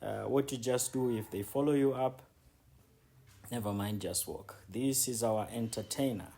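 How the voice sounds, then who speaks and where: Gravelly-voiced man, casual travel guide. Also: mid-range voice, normal speed, mellow. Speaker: travel vlogger and city guide. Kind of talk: casual spoken travel commentary. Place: outdoors on city streets. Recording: background noise, outdoor street ambience.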